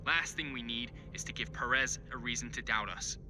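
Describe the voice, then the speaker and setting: An actor playing a disciplined mech squad commander in a video game, firm and clipped, giving military radio calls. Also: male voice, medium pitch, fairly quick, background noise, natural voice. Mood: firm, steady. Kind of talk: scripted video game dialogue. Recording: loud music and battle explosions behind.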